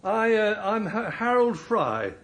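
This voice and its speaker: A man's voice: sits high, big pitch swings, slow, fairly bright tone, full character voice. An actor playing a weary older man on a long walk, speaking in a hesitant, stammering, apologetic way. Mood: flustered. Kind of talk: radio drama acting.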